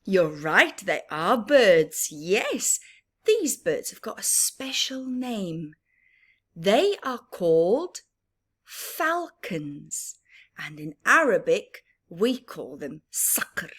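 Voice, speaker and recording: A woman reading a picture book aloud to young children, interactive and expressive, with a sing-song, questioning manner. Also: mid-range voice, very slow, breathy edge. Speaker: children's story reader and teacher.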